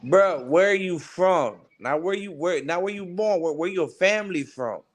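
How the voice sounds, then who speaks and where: A man co-hosting a rowdy sports podcast, talking loud, brash trash talk. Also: high-pitched, lively intonation, moderate pace. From Cuban and Italian heritage. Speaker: podcast co-host.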